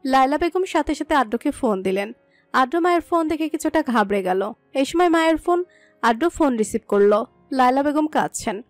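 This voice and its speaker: A woman narrates a scripted dramatic story. Her voice is high and sits in a fairly dry recording, with soft music behind her. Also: animated, brisk, no echo.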